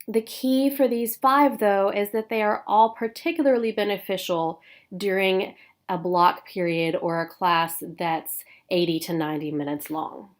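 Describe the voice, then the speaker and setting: A woman with a stuffy nose from a cold, giving a recorded professional development talk for teachers with clear, paced explanation and frequent "um"s. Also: medium pitch, measured pace. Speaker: instructional coach.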